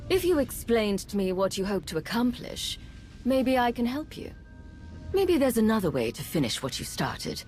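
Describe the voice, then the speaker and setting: An actress playing a cool, calculating imperial spy in a video game, probing and persuasive in a measured way. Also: female voice, a little high, sing-song, darkish tone, soft background music. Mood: coaxing. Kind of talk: dramatic video game acting.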